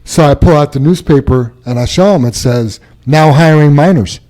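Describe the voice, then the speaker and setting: A man hosts a relaxed podcast with friends. He tells stories and corny jokes, laughing easily as he goes. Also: on the high side, normal speed. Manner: joking, telling dad jokes with laughs. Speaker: podcast host. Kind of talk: casual chat with storytelling.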